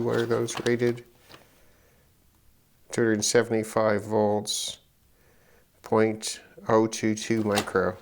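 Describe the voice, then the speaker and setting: A middle-aged man giving unscripted commentary on an electronics teardown, halting and musing as he thinks aloud. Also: on the low side, a little hiss or hum. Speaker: electronics hobbyist presenter.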